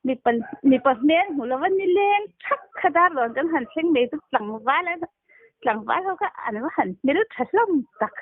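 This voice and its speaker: A woman's voice: high voice, expressive, moderate pace, no room sound. A woman chatting casually on a phone call, heard over a tinny, narrow telephone line. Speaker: phone caller.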